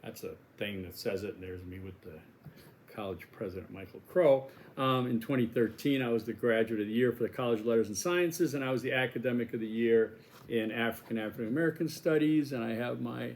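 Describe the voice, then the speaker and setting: A man's voice: mid-range voice, brisk, gravelly, darkish tone. Sixties guy w/ a wisconsin accent, college teacher, rambly and jokey, mid voice, bit of background hiss. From Milwaukee, Wisconsin. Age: 60s. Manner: rambling, chatty, self-deprecating jokes. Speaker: college instructor. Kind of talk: casual course welcome video.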